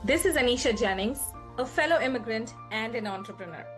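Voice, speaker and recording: A woman's voice: a little high, expressive, brisk, faint music behind. A woman from India hosting a podcast, with scripted introductions and interview questions. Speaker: podcast host.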